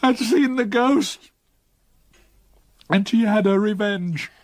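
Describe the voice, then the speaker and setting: An actor playing a grief-stricken old man haunted by his past, in a literary, measured gothic radio drama style. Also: high voice, no echo, studio quality. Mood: anguished, tearful. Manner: literary, measured, emotionally burdened.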